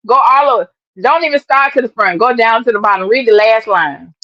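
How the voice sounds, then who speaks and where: A woman's voice: on the high side, brisk, no echo. A woman hosting her own live stream, chatting emphatically and addressing her viewers directly. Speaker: livestream host and sports fan. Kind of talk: casual live-stream chat. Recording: dull lo-fi stream audio.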